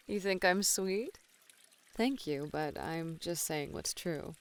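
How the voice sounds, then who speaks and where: A woman's voice: lowish voice, full character voice. A woman playing a flirty, playful model and gamer in an ASMR roleplay, with a sweet-talking, teasing way of speaking. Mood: flirty, affectionate.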